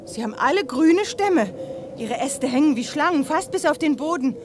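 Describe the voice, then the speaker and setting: Young female adventurer for sci-fi radio drama: high voice, uneasy, spirited, faint noise behind. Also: animated, moderate pace. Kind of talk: German 1980s sci-fi radio play acting.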